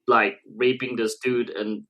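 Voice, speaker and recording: Blunt, slangy man with mid-pitched voice. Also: little pitch movement, unhurried, pushed and bright. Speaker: comics podcast co-host. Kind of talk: casual chat.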